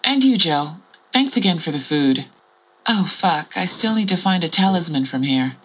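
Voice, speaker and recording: Actress doing mysterious blunt traveller woman, lowish voice that swings around a lot, candid, audio drama. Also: expressive, moderate pace, a bit bright, slightly rough, rough recording. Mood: amused, blunt. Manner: musing, candid, sweary.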